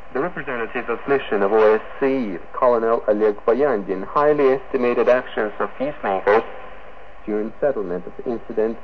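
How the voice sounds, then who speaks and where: Male shortwave newsreader, Eastern European accent, formal halting scripted delivery, dull lo-fi radio sound with light static. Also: on the low side. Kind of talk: scripted news bulletin reading. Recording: noisy muffled shortwave radio broadcast.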